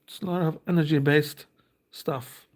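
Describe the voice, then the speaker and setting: A man's voice: on the high side, steady tone, moderate pace, a little mellow. A man, hosting a casual unboxing and reading card text aloud in a hesitant, thinking-aloud way. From Norway. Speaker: host.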